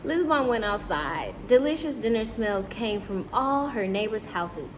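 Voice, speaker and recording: A woman's voice: mid-range voice, animated, measured pace, background noise. A woman teacher reading a story aloud to young children, breaking off to question and explain things to her listeners.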